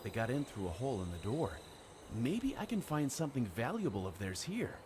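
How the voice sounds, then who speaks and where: An actor playing an earnest, adventurous hero on a quest in a scripted video game. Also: male voice, mid-range voice, very animated, fairly quick, close to an everyday voice. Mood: pondering. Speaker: player character.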